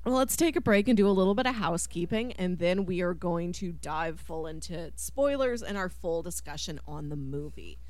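A woman hosting a podcast, chatting casually about film in an animated, expressive way. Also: on the low side, moderate pace, hardly any pauses, no echo. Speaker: podcast host.

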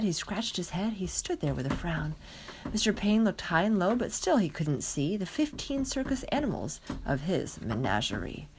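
A woman reading a rhyming picture book aloud in an expressive, dramatic way. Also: lowish voice, slight background noise. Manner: dramatic, animated delivery. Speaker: storybook reader.

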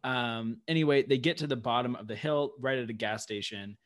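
A man's voice: mid-range voice, brisk. Chatty young man co-hosting a podcast. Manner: chatty, rambling storyteller. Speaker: podcast co-host. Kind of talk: casual chat.

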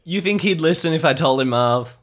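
A man's voice: a little high, expressive, a little fast, lightly acted. A man playing a wry, easily exasperated local man in an improvised audio drama.

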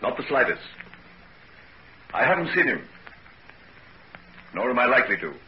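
A man acting an earnest, secretive young gentleman in a 1940s radio drama. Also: mid-range voice, sing-song, moderate pace, muffled recording, lightly acted. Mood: casual, guarded. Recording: noisy old 1940s radio recording.

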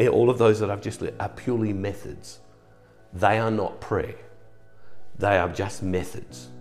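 A man with an Australian accent and a croaky voice, giving a gentle, earnest devotional talk and prayer. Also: low voice. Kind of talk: short devotional reflection and prayer.

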